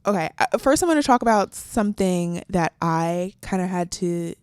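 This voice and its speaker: Soft-spoken young woman, dry close mic. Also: fairly low pitch, normal speed, clear, resonant tone, no room sound. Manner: animated storytelling with quoted voices. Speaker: podcast co-host. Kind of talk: casual chat.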